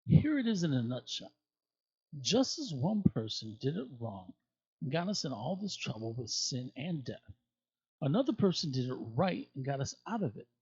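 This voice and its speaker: Male scripture reader, mid-pitched and slightly raspy, deliberate and emphatic delivery, dry but muffled lo-fi sound. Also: sing-song, no room sound. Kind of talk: expressive scripture reading.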